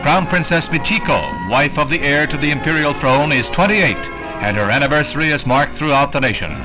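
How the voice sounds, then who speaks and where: A man's voice: fairly high pitch, expressive, a little fast, low-quality recording. An American man narrating a scripted 1960s newsreel in a polished, declamatory announcer style. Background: prominent background music.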